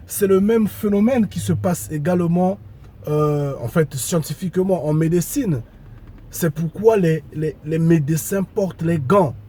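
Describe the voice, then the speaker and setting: A man preaching a sermon to his followers while congested with a cold, speaking deliberately and emphatically and repeating key phrases. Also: fairly high pitch, dark tone, husky. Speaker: spiritual preacher.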